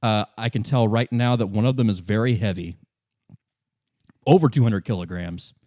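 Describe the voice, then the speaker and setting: A man plays a detective's inner voice of analysis in a tabletop game. It reasons in a laid-back way, fairly low in pitch and very dry. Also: moderate pace, mellow, no room sound, close to an everyday voice. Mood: analytical. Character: detective's inner analytical skill, a talking voice in the head.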